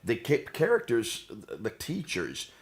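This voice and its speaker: A man's voice: mid-range voice, huge pitch swings, takes it slowly, gravelly, breathy edge. An American man explaining religious history in discussion, emphatic, repeating for effect and ending points with tag questions. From United States. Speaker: co-host, teacher.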